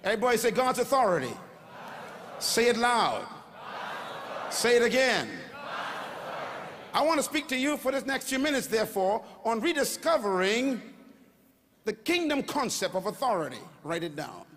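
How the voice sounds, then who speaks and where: An older Bahamian man preaches from a large stage into a handheld mic. His voice sits high and rises to shouts as he calls on the crowd to answer. A long reverb from the hall trails each phrase. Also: sing-song, normal speed, long pauses, background noise. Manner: preaching with call-and-response, rising to shouts. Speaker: pastor. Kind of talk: sermon.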